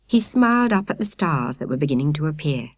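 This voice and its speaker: Woman, audiobook narrator, very varied intonation, dry studio sound, expressive scripted storytelling. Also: deep voice, brisk, no room sound. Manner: expressive, carefully phrased storytelling.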